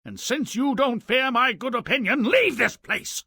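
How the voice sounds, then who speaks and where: A scornful, fearful father in a fairy-tale game. His voice is high and sharp-edged, and he declaims his contempt pompously. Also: expressive, a little slow, slight background noise, full character voice. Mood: disdainful. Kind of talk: theatrical video game fairy-tale acting.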